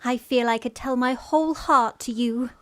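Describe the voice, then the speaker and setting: A woman's voice: fairly high pitch, moderate pace, no echo, clean studio sound, lightly acted. An actress playing a sweet, trusting young Victorian lady in a radio farce, earnest and polite. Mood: earnest, admiring.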